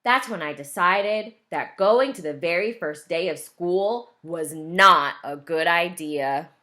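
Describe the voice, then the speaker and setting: A woman's voice: lowish voice, takes it slowly, sharp tone. A woman teacher reading a picture book aloud to young children, explaining and asking questions along the way.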